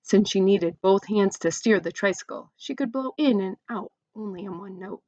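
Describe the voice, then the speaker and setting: A woman's voice: medium pitch, normal speed, a little mellow, no echo, dull audio. A woman reading a children's story aloud expressively, with chatty asides to young listeners.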